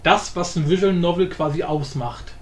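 A man giving a casual, informal software tutorial, explaining step by step. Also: a little high, moderate pace. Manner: informal, explanatory, often adds tag questions. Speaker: tutorial host. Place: indoor room, voice-over of screen recording. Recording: roomy echo with faint background noise.

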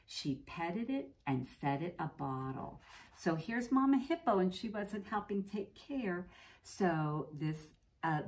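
An American woman with a low voice reads a picture book to preschoolers. Her intonation rises and falls in a lively way. She breaks from the text to explain things simply, as a teacher talking to small children. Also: creaky. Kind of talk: reading a picture book aloud to young children, with explanatory asides. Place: indoors, classroom.

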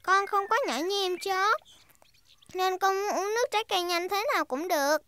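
A very high, bright voice for a little girl pig in a preschool cartoon. She is a bossy, cheerful big sister who explains things earnestly, with faint noise behind her. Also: a little fast. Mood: explaining. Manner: childlike, earnest, instructing. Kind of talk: Vietnamese-dubbed preschool cartoon acting.

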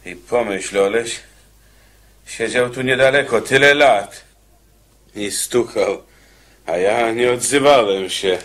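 An actor in a radio play voicing an irritable, bossy condemned convict, grumbling and repeating himself in exasperation. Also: male voice, mid-range voice, a bit bright. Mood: wistful. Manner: exasperated, grumbling, halting repetitions. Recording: noisy radio drama recording with clicks.

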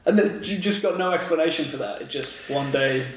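A man's voice: fairly high pitch, brisk, a little hiss or hum. A man hosting a podcast interview in a casual, chatty style. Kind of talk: casual chat. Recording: very echoey room.

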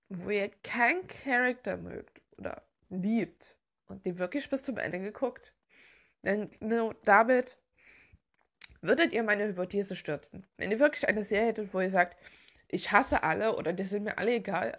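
Female vlog host, casual fan rambling, gushing and giggly, creaky mid-range voice. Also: mid-range voice, moderate pace, a bit bright. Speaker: video host.

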